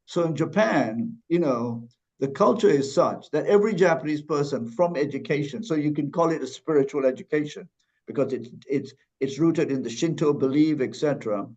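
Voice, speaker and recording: A man's voice: on the high side, moderate pace, a little mellow, dull audio. A man from Malaysia speaking as a podcast guest, thoughtful, with frequent restarts and fillers. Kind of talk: conversational interview.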